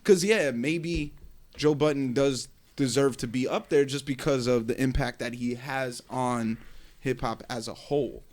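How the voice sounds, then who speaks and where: A man with a mid-pitched voice co-hosts a casual podcast. He talks in a laid-back way, questions his partner's picks, and lets his sentences trail off. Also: measured pace. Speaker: podcast co-host. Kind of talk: casual hip hop debate.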